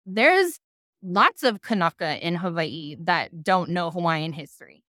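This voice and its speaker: Low-voiced woman moderating a panel. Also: lively intonation, unhurried, bright, forceful tone, no room sound, clean studio sound. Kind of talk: conversational panel discussion.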